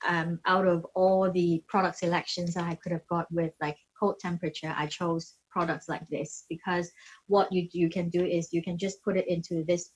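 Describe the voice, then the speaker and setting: A woman's voice: fairly low pitch, flat delivery, normal speed, husky, poor audio. Hesitant woman demonstrating beauty tools. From Hong Kong. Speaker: beauty brand founder and facialist giving a demo. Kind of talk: casual product demonstration and explanation.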